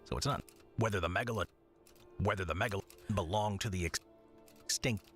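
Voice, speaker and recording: A man reading voiceover narration in a calm, relaxing delivery, recorded as repeated takes. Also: sits low, brisk, soft background music.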